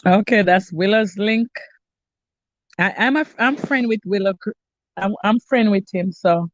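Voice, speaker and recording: A woman's voice: mid-range voice, a little slow, no echo, dull audio. A middle-aged Jamaican woman hosting a cooking live stream, chatting casually with viewers by name. She sounds stuffy and congested and often restarts her sentences. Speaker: cooking channel host.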